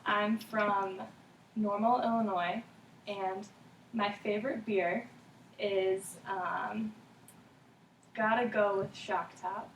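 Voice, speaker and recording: A woman in her twenties moderating a student focus group, with many pauses and ums. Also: medium pitch, fairly even intonation, a little hiss or hum. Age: 20s. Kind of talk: scripted moderating, reading rules. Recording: echoey room, far from the mic.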